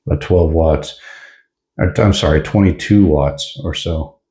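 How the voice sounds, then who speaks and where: A man's voice: very deep voice, unhurried, mellow. A man giving a casual hands-on product review in an informal, explanatory way.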